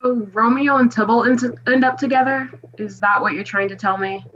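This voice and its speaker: A woman's voice: fairly high pitch, fairly even intonation, moderate pace, fairly bright tone, slight background noise, close to an everyday voice. A woman in a scripted comedy, playing a skeptical, impatient listener, on a slightly muffled recording. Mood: incredulous.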